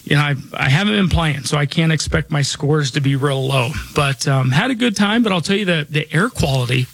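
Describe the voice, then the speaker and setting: A radio host in his forties talks in a casual, rambling way, restarting sentences and leaning on fillers. His voice is raspy and a bit hoarse, with a gravelly edge and a pitch on the higher side for a man. The sound is dry and close. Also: animated, brisk, a bit bright, no room sound. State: slightly hoarse from chest trouble. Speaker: radio show host and financial advisor.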